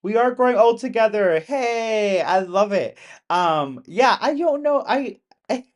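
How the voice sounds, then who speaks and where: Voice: medium pitch, expressive, unhurried. Chatty streamer in their thirties, rambling. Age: 30s. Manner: rambling and chatty, laughs often. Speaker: live streamer. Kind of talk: casual live-stream chat with viewers. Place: home in the countryside.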